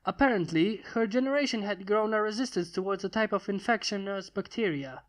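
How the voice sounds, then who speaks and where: Someone reading a fan fiction story out loud, medium pitch, scripted narration. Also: fairly quick.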